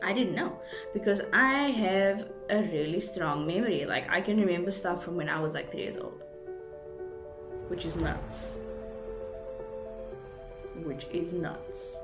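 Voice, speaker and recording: A woman's voice: fairly low pitch, lively intonation, unhurried, long pauses. A young South African woman chatting casually to her viewers as a vlogger, rambling along in an effusive, gushing way. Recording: roomy indoor echo, music underneath.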